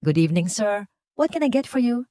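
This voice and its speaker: A woman's voice: medium pitch, big pitch swings, brisk, mellow, no room sound, clean studio sound, voice pushed up, natural voice. A woman voicing a proud young waitress in an audio story, coldly formal and emphatic, with a Filipino accent. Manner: formal, clipped, emphatic politeness.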